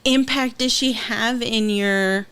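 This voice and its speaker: A woman from Los Angeles hosts a podcast interview, chatting and asking curious questions. Her voice is smooth and clear and sits a little high. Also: fairly even intonation, takes it slowly, fairly bright tone. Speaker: podcast host. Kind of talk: casual interview hosting.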